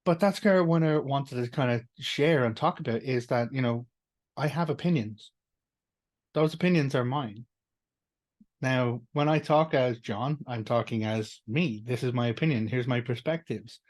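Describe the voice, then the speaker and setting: An Irish man talks at length in a loose, unscripted way, circling back and restarting his sentences. His voice sits in an ordinary middle pitch. He sounds earnest and plain-spoken, with the odd swear word. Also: moderate pace, darkish tone. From Ireland. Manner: rambling, earnest, with restarts and fillers. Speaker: teacher and school co-founder. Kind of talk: casual monologue.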